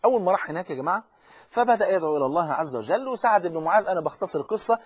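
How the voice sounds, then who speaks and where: Egyptian male preacher, fervent and urgent, very fast, husky, dry close sound, repeats himself. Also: high voice, lively intonation, no room sound. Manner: fervent, urgent, addresses the listener directly; frequent repetitions and restarts. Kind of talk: religious lesson.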